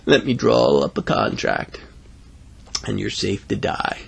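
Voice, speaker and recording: A man voicing a sinister, oily male tombstone dealer who talks in slow, measured sales patter for a story. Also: sits low, huge pitch swings, gravelly, husky, full character voice. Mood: oily, coaxing.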